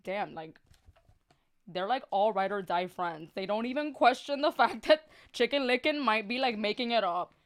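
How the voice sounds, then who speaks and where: Sassy woman with a higher voice. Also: animated, normal speed. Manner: sassy, slangy, exasperated asides. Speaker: storyteller, live stream host. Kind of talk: comic live story reading with chatty asides.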